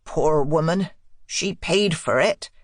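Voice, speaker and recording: A woman voicing a troubled, plain-spoken older housekeeper in an audiobook, halting and woeful, full of hushed dread. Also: low voice, dry recording, clean studio sound. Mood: grave. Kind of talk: audiobook character dialogue.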